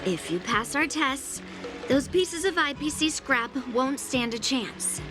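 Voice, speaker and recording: Casting: young female sword mentor for anime game, high voice, blunt, confident, firm. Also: expressive, takes it slowly, music in the background. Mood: firm, instructive.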